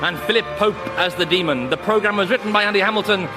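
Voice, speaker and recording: Male radio credits announcer, high voice, scripted read over music. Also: fast talker. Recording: music playing under the voice.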